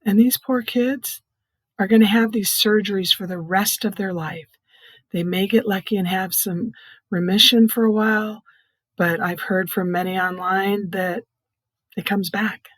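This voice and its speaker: Soft, hoarse older woman. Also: mid-range voice, fairly even intonation, unhurried, no echo. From Iowa, United States. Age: middle-aged or older. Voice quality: soft, hoarse, breathy voice from vocal cord growths. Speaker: patient advocate, child care nurse consultant. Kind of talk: personal testimony, explanatory talk.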